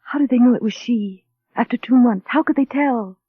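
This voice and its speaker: Anxious young bride, vintage radio drama: high-pitched, hesitant, earnest, dry and tinny sound. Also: female voice, moderate pace, husky, dry recording. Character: timid, anxious, insecure young wife. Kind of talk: 1930s radio drama acting. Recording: tinny, band-limited old radio recording.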